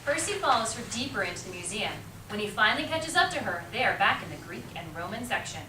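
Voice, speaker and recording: Female narrator for reader's theater, animated delivery, distant mic and noticeable background noise. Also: mid-range voice, quick pace. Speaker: teacher, narrator. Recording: echoey room, distant mic, clear noise.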